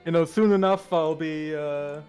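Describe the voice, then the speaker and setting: A man's voice: on the high side, fairly even intonation, unhurried, a little mellow, clean tone, faint music behind. A man doing casual live fighting-game commentary, laid-back and joking, with frequent swearing. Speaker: esports commentator.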